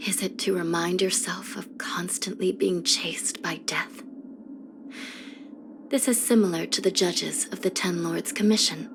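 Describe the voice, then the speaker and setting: A woman playing a weary, grave young judge of the underworld in a video game, speaking softly in measured, formal phrases. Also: lowish voice, steady tone, background noise. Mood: solemn, reflective. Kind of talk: scripted video game character voice lines. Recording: music under the voice. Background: background music.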